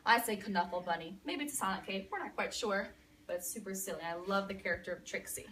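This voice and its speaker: A woman reading a picture book aloud to a young child in an expressive, dramatic way, pausing to chat and ask questions. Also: medium pitch, far from the mic, a little hiss or hum. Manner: expressive, with dramatic gasps and emphasis.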